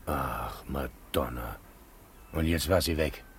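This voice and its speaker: Ein Mann spielt in einem deutschen Hörspiel einen neugierigen, mitfühlenden Südländer, der staunend ausruft und aufmerksam nachfragt. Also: male voice, extremely low pitch, takes it slowly, slight background noise, heavily acted. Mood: sympathetic. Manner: exclamatory, curious, attentive. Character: astonished, sympathetic listener, a dark-haired Latin man. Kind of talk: German radio play dialogue.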